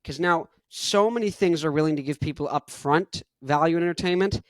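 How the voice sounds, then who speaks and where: A young man hosting his own podcast in an energetic, rambling monologue full of "like". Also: on the high side, brisk, no echo. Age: young adult. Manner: rambling, energetic, heavy use of "like". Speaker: podcast host.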